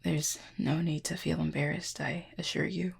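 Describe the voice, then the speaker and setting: Woman voicing a gravelly, husky, wounded fallen cupid. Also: low-pitched, fairly even intonation, exaggerated voice. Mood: wry, guarded. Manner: formal, wry wording with weary sighs and hesitant pauses. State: in pain, strained. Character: injured fallen cupid, proud, formal, guarded adult. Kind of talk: intimate audio roleplay addressed to listener.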